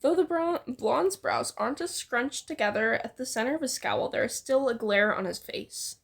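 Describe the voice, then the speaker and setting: A woman's voice: on the high side, normal speed, creaky, breathy edge. A woman reading fan fiction aloud in an informal, playful way, with bits of banter.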